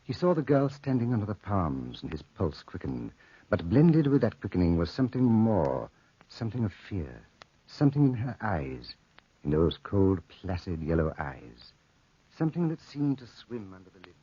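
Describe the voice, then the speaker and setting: An actor playing a wry narrator of a dark desert tale in 1940s radio drama style, theatrical and deliberate. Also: male voice, lowish voice, very animated, breathy edge, no room sound. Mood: ominous, suspenseful. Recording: old lo-fi radio recording.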